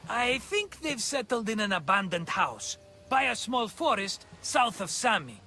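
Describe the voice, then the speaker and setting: An actor playing a scheming, flattering, tight-fisted merchant in a video game, with a theatrical, wheedling manner. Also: male voice, sits high, a little slow, slight background noise, exaggerated voice. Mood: excited, conspiratorial. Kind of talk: video game cutscene acting.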